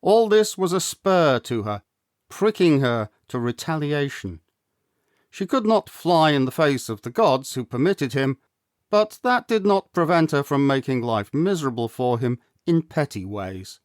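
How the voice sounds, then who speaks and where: A man narrating a classic novel as a storyteller, with measured, deliberate phrasing and dramatic pauses. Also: a little high, very animated, dry recording, studio quality. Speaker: storyteller, audiobook reader.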